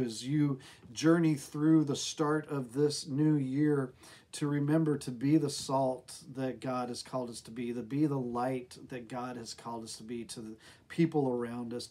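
A middle-aged man with an American accent speaks as a pastor. His voice sits at an average pitch for a man. He delivers a devotional talk to camera, recorded indoors in a small office. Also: a little mellow.

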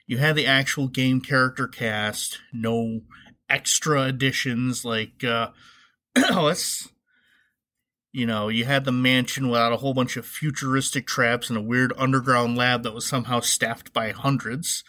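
A man's voice: mid-range voice, fairly bright tone. A man hosting a podcast solo, giving casual, wry commentary with an informal, joking manner. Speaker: podcast host.

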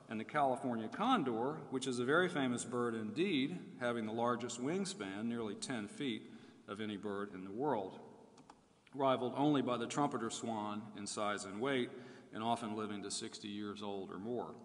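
A man giving a formal academic keynote lecture, reading from prepared text in a measured way and setting off quotations with emphasis. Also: medium pitch, fairly bright tone. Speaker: keynote speaker. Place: large indoor room at a conference. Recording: reverberant lecture hall.

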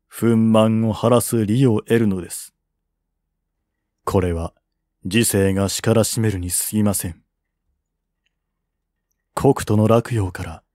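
A man voicing a calm, grave strategist of a fallen kingdom in formal, measured audiobook dialogue. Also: on the low side, dry recording, studio quality, close to an everyday voice.

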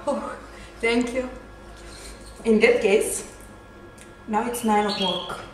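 A woman's voice: a little high, steady tone, slow pace, slight background noise. Woman speaking in a roomy echoey office. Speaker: casting assistant in a film. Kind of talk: amateur film dialogue. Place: office, indoors. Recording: echoey room from far off, music behind.

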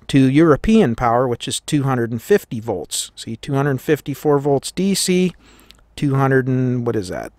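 A man's voice: medium pitch, no echo. American man giving a hands-on tutorial, dry sound. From United States. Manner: plain-spoken, informal, step by step. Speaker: host of a radio repair tutorial. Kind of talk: hands-on tutorial, explaining as he works. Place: indoors at a workbench.

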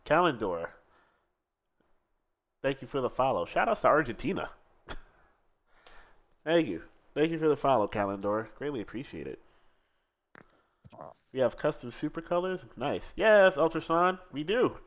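Excitable man commentating a stream. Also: medium pitch, normal speed, long pauses, highs cut off. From Seattle. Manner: excitable, laughs often, reads chat aloud. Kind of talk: casual live fighting-game commentary.

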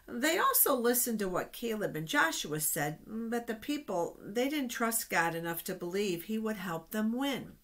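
A middle-aged woman reading Bible stories aloud to children in an expressive, explanatory way. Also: a little high, moderate pace, hardly any pauses. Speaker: children's storytime reader. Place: small office, indoors. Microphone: webcam at desk distance.

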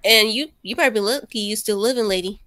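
A woman's voice: medium pitch, quick pace, pushed and bright, no echo, natural voice. A woman playing a wary, wisecracking young housemate in an improvised online roleplay, hesitant and casual. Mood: teasing.

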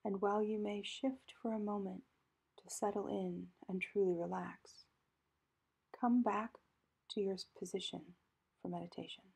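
Breathy, mellow woman guiding meditation. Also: medium pitch. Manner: calm, gentle, measured instruction with pauses. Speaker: meditation guide. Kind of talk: guided meditation narration.

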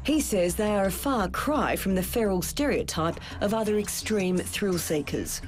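A woman's voice: mid-range voice, expressive, normal speed, over music. Woman narrating a TV news feature. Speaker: reporter. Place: studio voice-over.

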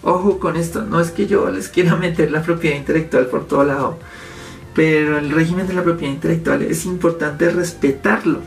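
A man's voice: on the high side, steady tone, brisk, creaky, soft background music. Gesturing man, home commentary. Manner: explanatory, gesturing with his hands. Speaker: YouTube host. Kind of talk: casual commentary to camera. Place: home office indoors. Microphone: fixed camera, medium framing.

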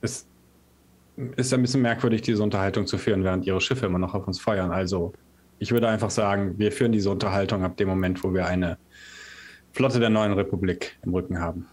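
Man, low voice, tabletop role-player, in-character dialogue, firm, measured. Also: steady tone, darkish tone.

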